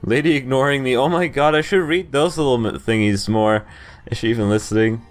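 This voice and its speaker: A man's voice: mid-range voice, fairly quick, faint music behind. A man hosting a game playthrough, reading visual novel narration aloud and breaking off for casual commentary. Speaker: video game playthrough host.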